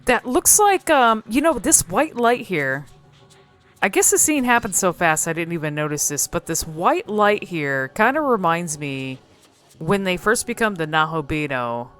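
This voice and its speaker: Woman with a lowish voice talking thru game scenes, thinking out loud, bit of music behind. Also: very animated, unhurried. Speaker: video host exploring game cutscenes. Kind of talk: casual unscripted game commentary.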